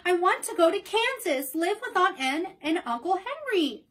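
A woman voicing an earnest, homesick young girl in a storybook reading, speaking high and eager. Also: big pitch swings, normal speed, fairly bright tone, exaggerated voice.

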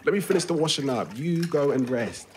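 Placating young son played by an actor, noisy background. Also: male voice, a little high, animated, brisk, natural voice. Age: young adult. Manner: sighing, placating, casual. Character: evasive, placating young son of a nagging mother. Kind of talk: naturalistic audio drama acting.

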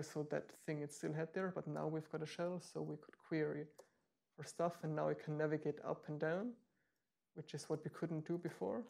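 Gravelly-voiced man giving a tech demo. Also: on the high side, a little mellow. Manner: explanatory, with frequent ums and restarts. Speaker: meetup speaker. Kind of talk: live tech demo talk. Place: meetup room.

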